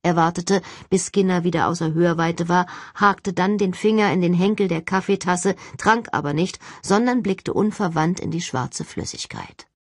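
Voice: mid-range voice, moderate pace, few pauses, no echo, studio quality. An audiobook narrator reading a novel expressively in a scripted literary style.

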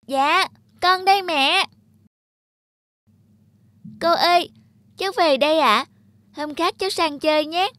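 Cartoon schoolgirl: small, high, pleading and sweet-mannered, recorded dry. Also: slow, pauses often, fairly bright tone, no room sound, full character voice. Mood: cheerful. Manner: childlike, polite, earnest. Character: cheerful, pleading little schoolgirl. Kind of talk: animated cartoon dubbing.

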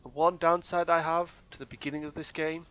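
A hoarse man, casually commentating a strategy game in a rambling, mock-grand way. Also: fairly high pitch, level tone, brisk, lo-fi sound, soft background music. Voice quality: rambling, self-correcting, mock-grand phrasing. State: hoarse, losing his voice. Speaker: let's play gamer.

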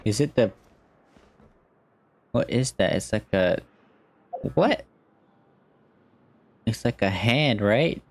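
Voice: medium pitch, lively intonation, takes it slowly, pauses often. A young adult streamer giving casual gameplay commentary and reading game text aloud.

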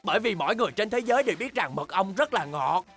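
A woman voicing an excitable, boastful young bear cub in a children's cartoon, with exaggerated, childlike delivery. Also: medium pitch, fast talker, faint music behind, close to an everyday voice. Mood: boastful, scheming. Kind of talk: animated children's cartoon dubbing.

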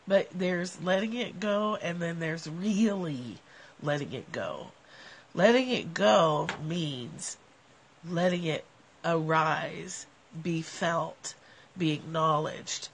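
Middle-aged woman, nasal with a cold, casual animated livestream host on a porch, phone mic. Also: lowish voice, a little hiss or hum. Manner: animated, gestures a lot while talking. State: has a cold. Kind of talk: casual reflective talk to viewers. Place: covered outdoor porch. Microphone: phone at arm's length.

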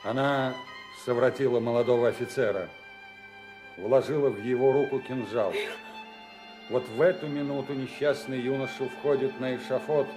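An actor playing an indignant, bereaved lord who accuses formally and emphatically in a radio drama. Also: male voice, mid-range voice, darkish tone, lightly acted. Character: indignant English lord accusing his brother's murderer. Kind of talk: 1990s Russian radio drama acting.

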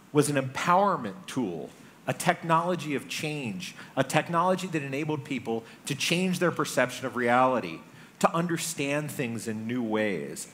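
A middle-aged man delivers a prepared conference talk, telling a story in deliberate, emphatic phrases with pauses for effect. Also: medium pitch, a bit bright. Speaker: conference speaker. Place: large conference hall stage. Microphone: headset microphone. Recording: stage talk with background noise.

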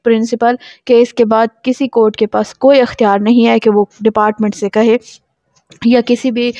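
A woman's voice: a little high, darkish tone, no room sound, muffled recording. A woman with a Pakistani accent giving a law lecture, reading formal text aloud and explaining it in an instructional way, repeating her points.

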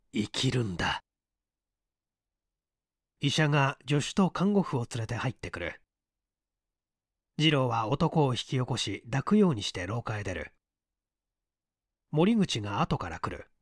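A man reading a literary story as dramatic narration in a measured, deliberate way. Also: medium pitch, very animated, no room sound, clean studio sound. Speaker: story reader.

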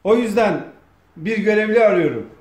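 A man's voice: high voice, lively intonation, moderate pace, darkish tone. A man, bossy and teasing as he coaches performers and feeds them lines. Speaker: off-camera director of a comedy sketch. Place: home dining room, indoors. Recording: echoey room, faint noise.

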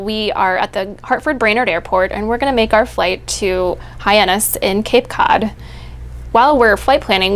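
A woman presenting an aviation product in smooth scripted explanation. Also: mid-range voice. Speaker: product demonstrator.

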